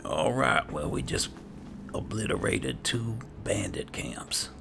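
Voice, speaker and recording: A man giving low-key, muttered in-character commentary as he roleplays through a video game. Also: very animated, takes it slowly, vocal fry, noisy background. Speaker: gaming video host. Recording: game music under the voice. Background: video game music.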